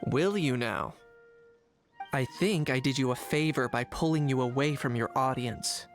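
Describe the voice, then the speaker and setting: An actor playing a cool, unsentimental young man in a game, speaking in a dry, measured, deadpan way. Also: medium pitch, natural voice. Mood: dry mockery. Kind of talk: game voice acting. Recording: music behind the voice.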